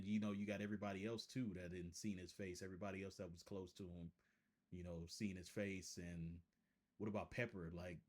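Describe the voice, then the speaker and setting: A man hosting a casual reaction video, rambling and restarting his sentences as he talks to his viewers. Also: sits low. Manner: rambling, repeats himself, frequent restarts.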